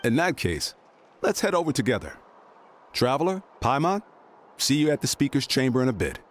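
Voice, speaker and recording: A man plays a confident young warrior in a video game. He has a mid-range voice with lively intonation. The delivery is friendly and purposeful, with clear background noise from the stream. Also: medium pitch, moderate pace, close to an everyday voice. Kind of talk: animated game dialogue.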